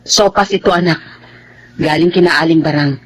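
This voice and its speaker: A woman playing a poor, caring, apologetic mother with a husky voice in a radio drama. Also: low voice, moderate pace, slight background noise, natural voice. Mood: gentle, apologetic. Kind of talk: Tagalog radio drama acting.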